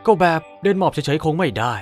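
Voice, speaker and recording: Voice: mid-range voice, expressive, quick pace, soft background music, close to an everyday voice. A dubber voicing a swaggering, sleazy cartoon dude. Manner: loud, swaggering, boastful. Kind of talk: Thai fan dub of a cartoon.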